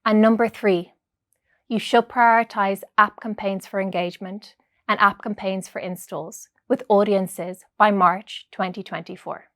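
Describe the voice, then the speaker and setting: A woman's voice: medium pitch, fairly even intonation, a little slow, fairly bright tone, no room sound, clean studio sound. A woman giving a scripted instructional presentation, setting out points in order as a training presenter.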